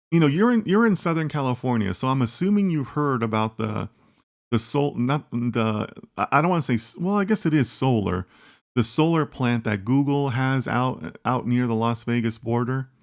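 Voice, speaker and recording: A male livestream host with a gravelly, fry-edged voice at an ordinary middle pitch. He talks casually and thinks out loud, circling back on his points with lots of "like" and questions to his viewers. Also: animated, normal speed. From United States. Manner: rambling, conversational, many "like" and "right?" tags. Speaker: host of a live data science chat. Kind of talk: casual livestream chat reading viewer comments.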